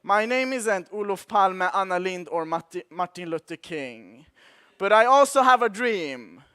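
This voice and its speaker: A man's voice: high-pitched, animated, unhurried, pushed and bright, clean tone. A man in his twenties with a Swedish accent, giving an impassioned motivational keynote at a conference with rhetorical repetition. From Sweden. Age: 20s. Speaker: conference speaker. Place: conference hall.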